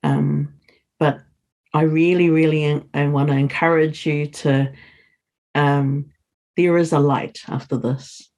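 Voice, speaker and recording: A woman's voice: low-pitched, fairly even intonation, slow, a little mellow. A woman who speaks English as a second language, talking as a podcast guest in an earnest, encouraging way. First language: non-English first language. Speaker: podcast guest, community leader. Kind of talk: casual interview talk.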